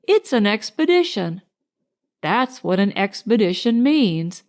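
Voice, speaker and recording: A woman's voice: medium pitch, very animated, measured pace. A woman voicing a confident, carefree young boy in a storybook, careless and a little authoritative.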